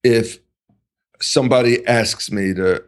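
A man speaking as a podcast guest, talking in a deliberate, halting way with many pauses and restarts. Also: medium pitch. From United States. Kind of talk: casual conversational interview.

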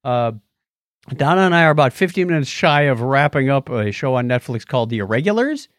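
A man's voice: medium pitch, very animated, a little fast, dry recording. A man co-hosting a podcast from a studio, chatting casually and playfully, moving between announcements and banter. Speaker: podcast co-host. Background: music.

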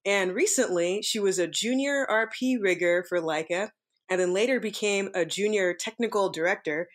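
A woman's voice: medium pitch, moderate pace. A woman hosting an interview podcast, reading a friendly intro and then chatting with her guest. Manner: upbeat, friendly scripted intro. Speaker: podcast host.

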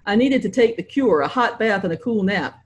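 A woman's voice: medium pitch, expressive, brisk, breathy edge. A woman voicing a glamorous young woman who speaks lightly and teasingly in a read-aloud novel.

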